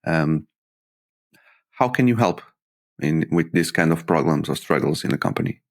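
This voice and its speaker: Deep-voiced male podcast host. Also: animated, moderate pace, vocal fry. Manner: casual, short interjections and questions. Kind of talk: conversational interview.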